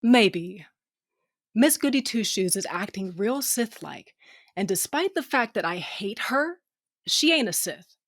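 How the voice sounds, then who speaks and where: A woman's voice: medium pitch, expressive, a little slow, dry recording, clean studio sound. A woman playing a blunt, sardonic female starship captain who narrates her own science fiction audio drama in slangy language. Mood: blunt, determined. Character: tough, sardonic, foul-mouthed female ship captain with a cybernetic eye. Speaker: narrator and lead character. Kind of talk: first-person audio drama narration and dialogue.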